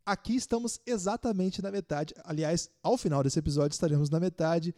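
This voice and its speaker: A man's voice: a little high, brisk, a little mellow. A man with a Brazilian accent hosting a casual sports podcast. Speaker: podcast host.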